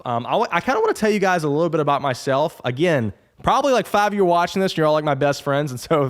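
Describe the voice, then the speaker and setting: Young male podcast host, twenties, chatty and rambling, mid-pitched voice. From Alabama. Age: 20s. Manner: rambling, chatty, upbeat with frequent restarts. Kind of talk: casual solo podcast intro.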